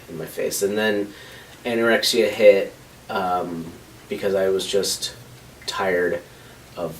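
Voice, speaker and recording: A man in his thirties speaks in a lowish voice, hesitant and full of fillers, as in a documentary interview. He sits a little back from the microphone. Faint noise hangs behind him. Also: recorded from a distance, low-quality recording. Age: 30s. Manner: hesitant, many fillers, soft-spoken.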